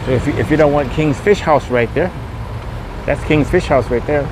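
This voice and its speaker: A man's voice: a little high, moderate pace. Man in noisy outdoor walking vlog. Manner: repetitive, promotional patter with catchphrases. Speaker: vlogger hosting a walking podcast. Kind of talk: casual walking tour commentary. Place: outdoors on a busy open-air mall street. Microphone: handheld phone camera. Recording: outdoor street noise, wind and traffic.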